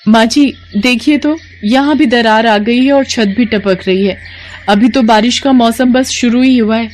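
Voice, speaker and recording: A woman voicing an earnest, resourceful young village daughter-in-law, eager and respectful, in an animated story. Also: on the high side, a little slow, voice pushed up, close to an everyday voice. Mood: anxious. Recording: noticeable background noise.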